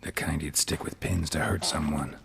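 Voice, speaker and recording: An actor playing a grizzled male monster hunter in a video game, speaking in a gravelly, terse, dry way. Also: rumbling low, level tone, moderate pace, in a large hall, very noisy, exaggerated voice. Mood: wry.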